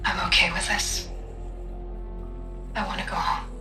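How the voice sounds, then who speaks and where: An actress playing a selfless woman scientist in a video game, gentle, resigned and steadfast, speaking a little haltingly. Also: on the low side, level tone, reverberant, soft background music. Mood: tender, resigned. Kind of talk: video game dramatic acting.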